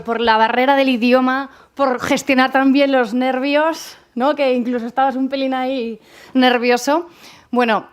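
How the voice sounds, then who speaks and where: Female moderator, slightly high voice, friendly and encouraging. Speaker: session moderator. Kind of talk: conference moderation.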